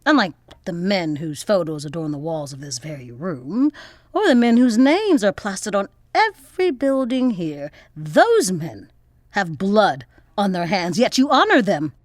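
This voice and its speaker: An actress playing a proud, defiant young activist scholar with articulate, formal diction in an audio drama. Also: female voice, medium pitch, sing-song, a little slow, no echo, studio quality. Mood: indignant, defiant. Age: young adult.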